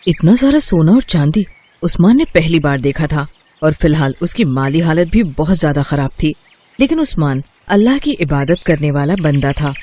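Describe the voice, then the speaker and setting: A male narrator tells a scripted moral tale. His voice sits somewhat high for a man, with the measured phrasing of storybook reading. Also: mellow, a little hiss or hum.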